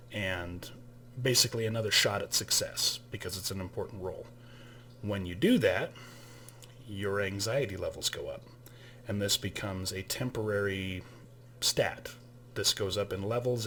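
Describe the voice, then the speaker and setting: A man's voice: fairly low pitch, slight background noise. A man who ran the game, casually explaining a tabletop game's rules and holding up items as he talks, with some restarts along the way. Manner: relaxed, with fillers and restarts, showing items to camera.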